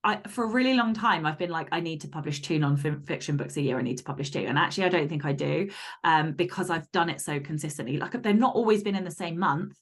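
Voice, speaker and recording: Candid woman with a lowish voice. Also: fast. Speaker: guest author and podcaster. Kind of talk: casual interview chat.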